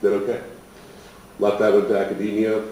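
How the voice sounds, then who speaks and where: A man's voice: lowish voice, completely flat delivery, a little fast, warm tone. An American man giving an informal presentation as an instructor, plain-spoken and self-deprecating. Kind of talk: informal presentation at a programme opening. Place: echoey indoor presentation room. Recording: echoey room, distant mic, faint noise.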